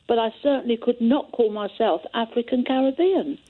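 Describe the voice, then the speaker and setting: A high-voiced English woman is heard through a narrow, tinny telephone line. She is a caller to a radio phone-in. She argues bluntly and insistently, holding to her point. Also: moderate pace, breathy edge. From England. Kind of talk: phone-in argument.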